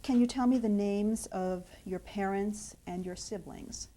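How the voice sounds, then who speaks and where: Woman interviewer with a very dark tone. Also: mid-range voice, measured pace. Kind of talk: structured interview questions.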